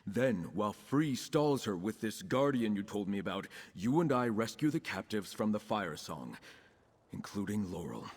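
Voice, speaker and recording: An actor playing an earnest, commanding knight in a video game, speaking in a formal, purposeful way. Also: male voice, on the low side, very animated, normal speed, a little mellow, husky, big-room sound. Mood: resolute. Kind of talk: scripted video game dialogue.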